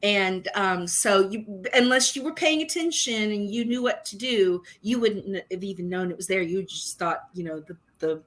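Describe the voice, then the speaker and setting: A middle-aged woman co-hosting a livestream in a bright voice. She chats casually and often trails off while searching for a word. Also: medium pitch, expressive, normal speed, few pauses. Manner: chatty, loses her words mid-sentence. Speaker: livestream co-host. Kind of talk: casual chat.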